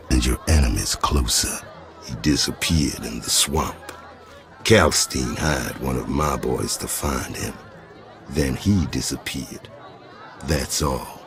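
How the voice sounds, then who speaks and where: An actor playing a menacing, sardonic crime boss in a video game, mocking and intimidating. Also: male voice, very deep voice, exaggerated voice.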